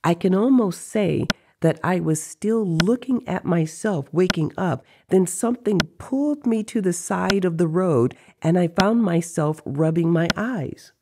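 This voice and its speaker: A narrator voicing an excitable male sorcerer who recounts a strange dream in a dramatic, earthy way. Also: medium pitch, a little slow, hardly any pauses, noisy background, pitched above the performer's own voice. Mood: wondering. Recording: faint music behind.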